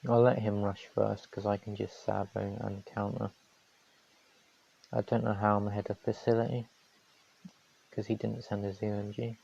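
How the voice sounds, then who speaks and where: Man from New Zealand, hoarse, calm game commentary, mellow dark tone, muffled stream audio. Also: on the low side, normal speed, long pauses. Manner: calm, matter-of-fact strategy talk. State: voice going hoarse. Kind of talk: live gameplay commentary.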